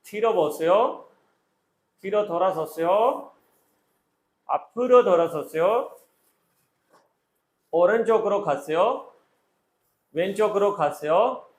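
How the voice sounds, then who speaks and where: A man acting as a Korean exam interviewer, giving short instructional commands and set questions. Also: sits high.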